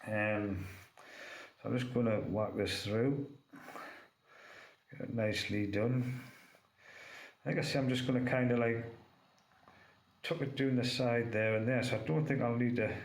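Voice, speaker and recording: A man's voice: medium pitch, steady tone, measured pace, long pauses. A man with a chesty cold, casually demonstrating vape gear and rambling with lots of fillers. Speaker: vape reviewer. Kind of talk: casual hands-on product demo. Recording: echoey room with faint noise.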